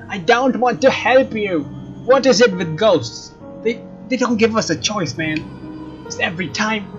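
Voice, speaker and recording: A young man doing a let's play, reading story text dramatically and commenting casually. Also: high-pitched. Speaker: let's player. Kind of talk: dramatic read-aloud with casual gameplay commentary. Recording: slightly dull mic over game music. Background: faint game music.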